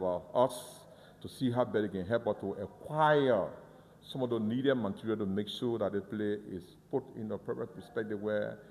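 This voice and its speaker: A man explains a museum in an earnest, halting way, often stumbling over and restarting his words. His voice is low-pitched and mellow. A long reverb from the museum hall trails behind him. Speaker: museum guide. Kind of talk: guided tour interview.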